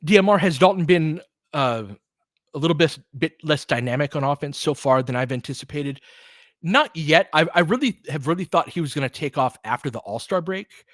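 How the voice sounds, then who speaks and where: A man's voice: on the high side, a little fast, fairly bright tone, no echo, clean studio sound. A man giving informal, candid basketball play-by-play and opinionated commentary as the host of a fan stream.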